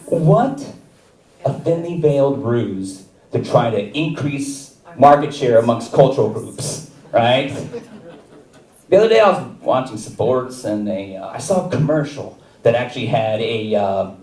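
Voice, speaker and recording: A man doing stand-up on a theater stage. His delivery is slow and wry, and his pitch swings around a lot. He is heard from a distance through a stage mic in a roomy hall. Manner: wry, deadpan delivery with chuckles. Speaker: stand-up comedy student. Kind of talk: stand-up comedy set.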